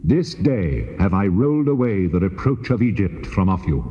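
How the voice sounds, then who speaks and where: Booming God, cavernous reverb. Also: male voice, sing-song, a little hiss or hum. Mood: solemn decree. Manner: solemn, deliberate, commanding delivery. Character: the Lord God, grave, commanding, ancient divine voice. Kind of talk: dramatized scripture reading. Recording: long reverb, dull old cassette.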